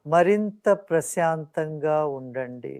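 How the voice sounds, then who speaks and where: Guided meditation voice: calm, soothing, measured instructor delivery, medium pitch, dry recording. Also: no echo.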